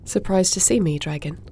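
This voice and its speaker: A woman's voice: on the low side, mellow, a little hiss or hum. A woman playing a confident warrior princess in a fantasy audio roleplay, assured and formal. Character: confident warrior princess, dragon shifter commander, self-assured.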